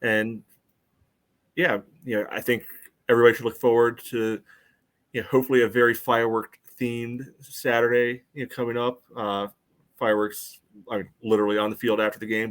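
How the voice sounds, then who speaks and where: A man's voice: fairly low pitch, level tone, fairly quick. A man co-hosting a sports podcast in casual conversation.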